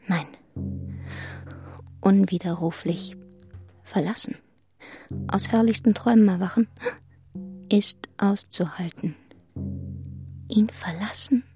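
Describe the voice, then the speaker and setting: Radio drama lead: wounded, resolute young governess, medium register, intense yet restrained narration, musical backing. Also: female voice, medium pitch, slow, pauses often, faint music behind. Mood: desolate, anguished. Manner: restrained, intense, breaking into hushed fragments. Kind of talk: German radio play narration and acting.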